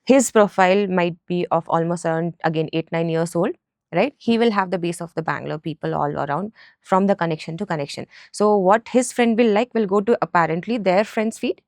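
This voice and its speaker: A woman's voice: on the low side, fairly quick, darkish tone, dry recording, studio quality. A woman from Nepal talking casually and explaining things as a business founder in a podcast interview. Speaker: food truck business co-founder, podcast guest.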